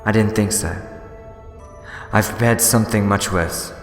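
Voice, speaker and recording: A man's voice: fairly low pitch, measured pace, close to an everyday voice. A man playing a scheming, mischievous and theatrical villain in a sci-fi fan audio drama, mocking in manner. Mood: menacing, gloating. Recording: echoey reverberant hall, music underneath.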